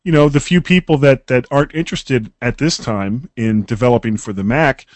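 A man's voice: medium pitch, normal speed, dry recording. A podcast co-host talks in an easygoing, joking way, often hesitating and restarting his sentences. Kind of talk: casual tech podcast chat.

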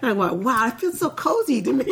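A woman from Tennessee in casual chat who talks fast and laughs often. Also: sits high, sing-song, gravelly, a little hiss or hum.

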